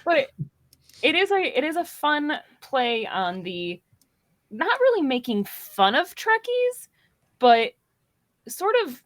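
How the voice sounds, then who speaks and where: A woman's voice: high voice, sing-song, slow, pauses often, dry recording. A woman of about thirty chatting casually on a panel, playfully acting out imagined reactions. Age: around 30. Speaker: podcast panelist. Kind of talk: casual chat.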